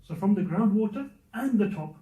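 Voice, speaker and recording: A man's voice: high voice, animated, normal speed. Earnest man teaching, echoey and distant. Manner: earnest, conversational, repeats key points. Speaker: youth circle teacher. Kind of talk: religious lesson to a youth and parents circle. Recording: muffled, echoey room, speaker away from mic.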